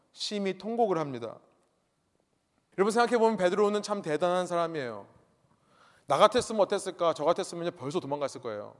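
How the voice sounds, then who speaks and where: A male pastor with a notably high voice delivers a sermon, leaning hard on key points and repeating them. He poses rhetorical questions to the congregation. His voice rings out with the long reverb of a church hall.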